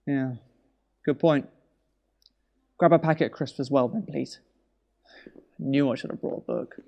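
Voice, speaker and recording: An actor playing an anxious, weary husband in a naturalistic audio drama, speaking in a wry, hesitant, conversational way. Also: male voice, medium pitch, fairly even intonation, unhurried, vocal fry, darkish tone, lo-fi sound. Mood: weary, grumbling.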